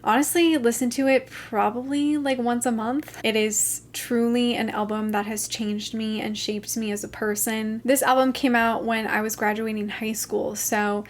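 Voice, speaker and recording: A young woman in her twenties chats to camera in a casual vlog, gushing with enthusiasm. Her voice sits a little high and has gone slightly hoarse from talking so long. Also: steady tone, moderate pace, hardly any pauses. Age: 20s. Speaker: channel host. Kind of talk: casual music review vlog.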